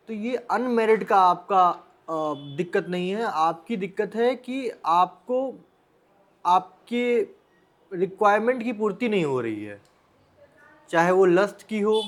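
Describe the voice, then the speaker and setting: Indian guy w a pretty high voice doing blunt motivational advice vlog, talks right at you, repeats himself. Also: a bit bright. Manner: blunt, direct address with rhetorical questions and repeated phrases. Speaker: advice vlogger. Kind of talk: informal motivational advice talk to the viewer.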